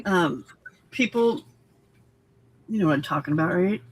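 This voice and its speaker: A woman's voice: lowish voice, animated, normal speed, slightly rough, low-quality recording. A woman hosting a crafting livestream, chatty and playful, scolding her cat and joking with her co-host. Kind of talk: casual chat while crafting.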